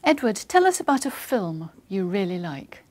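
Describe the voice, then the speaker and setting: Woman, exam interlocutor, formal, measured, scripted questions. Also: mid-range voice, big pitch swings, a little mellow.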